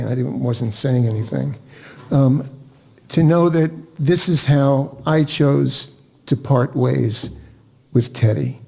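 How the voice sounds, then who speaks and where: An older man giving an informal, personal eulogy, reflective and halting, with pauses. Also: mid-range voice, vocal fry, mellow. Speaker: family member giving a eulogy. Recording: spoken at a funeral service.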